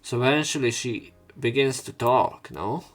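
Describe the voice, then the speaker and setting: A man's voice: medium pitch, unhurried. A man playing through a visual novel, reading its narration aloud and adding casual, informal commentary of his own. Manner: informal, swearing in reactions. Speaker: let's player.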